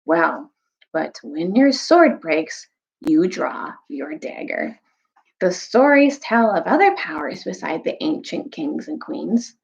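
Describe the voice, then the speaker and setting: A woman's voice: on the low side, very animated, slow pace, gravelly, highs cut off, heavily acted. A woman voicing a bitter, scheming male dwarf in a storybook, grudging and argumentative. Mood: bitter, persuasive. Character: bitter, scheming male dwarf plotting against old legends.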